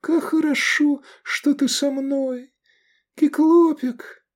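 A man voicing a gentle dying young woman, whispery, tender and loving, in an audiobook story. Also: very high voice, fairly even intonation, slow pace, pitched above the performer's own voice. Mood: tender, fading. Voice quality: breathy, whispery.

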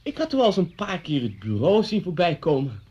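A man's voice: fairly high pitch, wildly swooping pitch, fairly quick, warm tone, husky, full character voice. A chatty policeman, muffled recording. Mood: affable, confiding. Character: genial male neighbourhood policeman, former pupil, a father. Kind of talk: Dutch radio play acting. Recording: dull lo-fi radio play recording.

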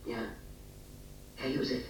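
A woman's voice: slow, recorded from a distance, lightly acted. An actress playing a lonely, coaxing older mother in a radio play. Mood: coy. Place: large echoing empty hall. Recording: muffled, very echoey, noisy radio recording.

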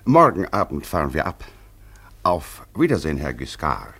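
A haughty English aristocrat turned polite blackmailer for a radio play. The voice is very deep and swings widely in pitch, with formal, measured, pompous wording. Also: male voice, very animated, fairly bright tone, husky, full character voice. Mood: haughty, businesslike. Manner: formal, deliberate, pompous phrasing. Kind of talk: German radio play acting.

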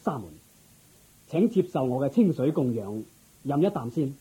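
A humble yet dignified king in Cantonese radio drama. He speaks courteously in a husky, very dark voice that moves expressively up and down. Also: medium pitch, big pitch swings, moderate pace, poor audio. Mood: reverent. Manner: stately, courteous.

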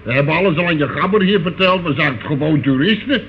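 An actor playing a young vagrant suspect in a radio play, evasive, protesting and stammering in slangy speech. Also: male voice, fairly high pitch, expressive, fast talker, close to an everyday voice. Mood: evasive, indignant. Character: male young vagrant suspect, evasive and whining, easily panicked. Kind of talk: old radio play acting. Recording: noisy narrow-band old radio recording.

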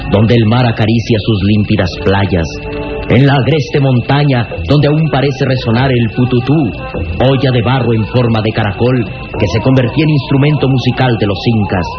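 A man narrating a 1960s radio serial in a solemn, florid, declamatory way. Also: mid-range voice. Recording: dull 1960s radio recording, loud music behind.

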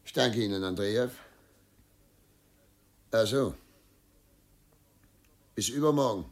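An actor playing a troubled, middle-aged governor and father in a radio play, dutiful and self-justifying, speaking in a formal, halting way. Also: on the low side. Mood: hesitant, instructing. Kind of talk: German radio play acting.

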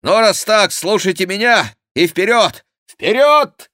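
A man voicing a brisk Gascon horseman in an audiobook, giving crisp orders with unruffled mockery. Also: a little high, sing-song, a bit bright, no room sound, studio quality, voice pushed up. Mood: commanding. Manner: crisp commands, unruffled and mocking. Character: brisk, teasing Gascon horseman, coolly commanding. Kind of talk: audiobook character voice.

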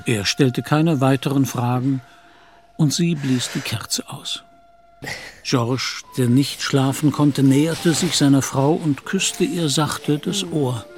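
A man's voice: medium pitch, slow, slight background noise. A man narrating a literary radio play.

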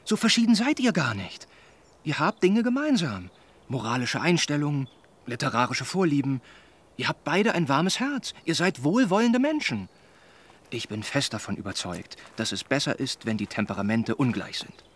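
An actor playing an earnest, well-meaning young gentleman in a German radio drama, speaking in a measured, formal, persuading way. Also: medium pitch, big pitch swings, slightly rough, full character voice. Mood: insistent.

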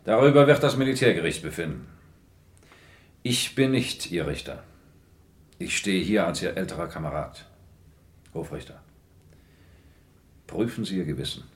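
Grave, low-voiced army major. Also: male voice, expressive. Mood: grave, solemn. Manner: formal, measured, authoritative. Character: grave older male army major, stern, honour-bound. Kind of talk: German radio crime play acting.

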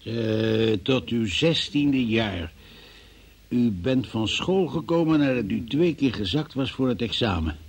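An actor playing a stern, formal examining magistrate who questions in measured officialese, in a Dutch radio drama. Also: male voice, mid-range voice, expressive, slightly rough, full character voice. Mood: businesslike.

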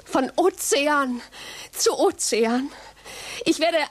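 A self-assured press agent in a radio play, crisp and businesslike. Her voice is high and sounds dry and close, with no room around it. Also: expressive, extremely slow, no room sound, heavily acted. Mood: brisk.

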